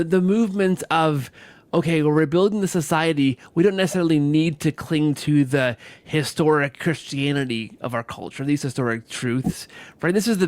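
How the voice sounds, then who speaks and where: Man with a higher, close, dry voice. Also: dry recording. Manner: earnest, rambling, repeats phrases. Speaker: podcast host. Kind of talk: conversational interview.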